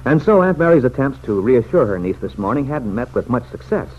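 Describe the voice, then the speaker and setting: A man's voice: medium pitch, big pitch swings, fairly quick. A man narrating a scripted vintage radio serial in a formal, dramatic storytelling manner. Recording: dull, hissy vintage radio recording.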